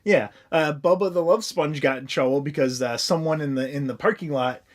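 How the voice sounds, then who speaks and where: Higher-voiced American man chatting casually. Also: brisk. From American Midwest. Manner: crude, swearing, loose rambling storytelling. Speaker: podcast co-host. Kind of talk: casual comic chat.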